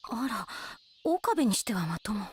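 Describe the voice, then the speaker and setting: An actress playing a proud, sharp-tongued eighteen-year-old girl genius in a Japanese anime radio drama, scolding and haughty. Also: mid-range voice, very animated, breathy edge, exaggerated voice. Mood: smug. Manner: scolding, haughty, quick to retort.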